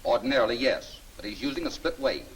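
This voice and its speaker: A man acting an unruffled scientist-detective from a 1940s film serial. He talks fast and assuredly, with lively swings of pitch, on a faintly noisy old soundtrack. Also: lightly acted. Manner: brisk, assured, explanatory. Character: confident male scientist-detective hero, brisk and unruffled.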